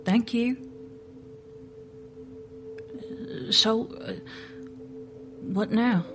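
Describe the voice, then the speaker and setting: A skeptical, tired female FBI agent in an audio drama. She talks slowly and haltingly in a fairly high voice, with feeling close to the surface. Faint music sits behind her. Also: fairly even intonation. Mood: uncertain, tentative.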